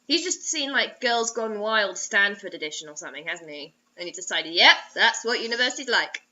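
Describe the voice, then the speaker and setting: A woman's voice: mid-range voice, lively intonation, brisk, sharp tone, highs cut off. A woman from England making wry, incredulous, sarcastic comic interjections. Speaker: co-commentator.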